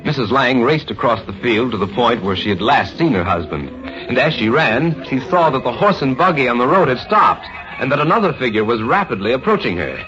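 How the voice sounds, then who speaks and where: A man's voice: mid-range voice, sing-song, bright, forceful tone. An American man giving suspenseful scripted narration in the style of a 1950s radio drama. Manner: suspenseful, dramatic, measured storytelling. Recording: dull vintage radio, faint music behind.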